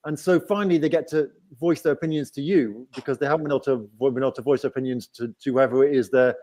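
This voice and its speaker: A man's voice: medium pitch, rapid-fire, darkish tone. A man with an English accent hosting a casual live-stream chat, explaining things at length with frequent restarts. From England. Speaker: host.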